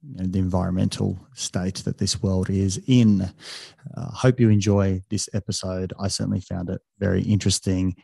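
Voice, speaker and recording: A man's voice: sits low, fairly even intonation, moderate pace, gravelly, mellow, breathy edge, no room sound. A man hosting a psychology podcast, talking casually with lots of fillers and restarts. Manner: hesitant, many restarts and fillers. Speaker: podcast host. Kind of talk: casual interview.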